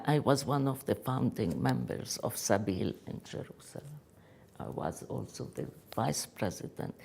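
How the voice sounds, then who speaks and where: An older woman with a Palestinian Arabic accent, giving personal testimony in an earnest, emphatic and halting way. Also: low-pitched, creaky, warm tone, husky. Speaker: activist speaker.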